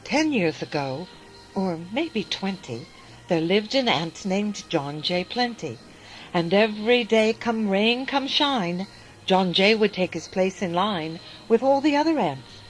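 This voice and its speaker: A woman narrating a rhyming storybook aloud with a lilting, expressive delivery, with faint music behind. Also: medium pitch, measured pace, poor audio. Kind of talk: storybook reading in rhyme.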